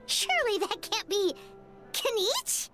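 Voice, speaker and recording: A woman voicing a tiny, nosy female game companion who chatters excitably. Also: very high-pitched, slow, loud music behind the voice, heavily acted. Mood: gossipy.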